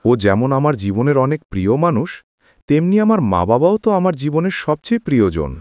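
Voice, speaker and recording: Cartoon casting: a man as dutiful young husband, earnest, animated intonation, dry studio sound. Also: a little high, quick pace, dry recording. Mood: pleading. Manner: earnest, emphatic pleading. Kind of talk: dramatized cartoon voice acting.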